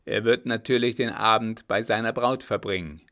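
Male narrator doing a grim army captain: low, gravelly, harsh and clipped. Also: steady tone, moderate pace, bright, forceful tone.

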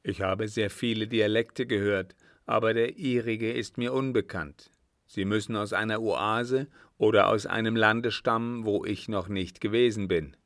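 A man's voice: on the low side, steady tone, measured pace, a bit bright, no room sound, clean studio sound. A man voicing a grave, commanding old sheikh in an audiobook, speaking in a solemn, formal and emphatic way.

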